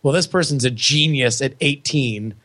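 A man's voice: medium pitch, moderate pace, sharp tone. A man hosting a podcast in a casual, conversational chat. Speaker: podcast host.

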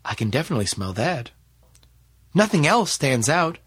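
Man voicing a spirited young woman, slightly husky. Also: on the high side, sing-song, normal speed, a bit bright, no echo, full character voice. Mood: observant. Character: young woman April, inquisitive, spirited sidekick.

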